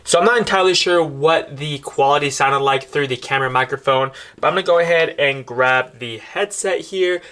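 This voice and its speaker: A man with a bright, forward voice gives a casual product demonstration. He speaks as a reviewer addressing his viewers, explaining things step by step in an informal way. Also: a little high, a little fast, hardly any pauses, clear, resonant tone.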